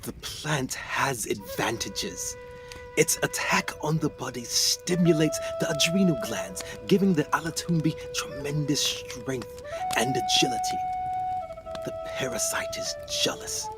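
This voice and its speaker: A man's voice: mid-range voice, expressive, music in the background, natural voice. A man playing a haunted, guilt-ridden explorer doctor in a radio play, telling his story with theatrical intensity. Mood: haunted, grave. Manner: theatrical, intense, halting delivery.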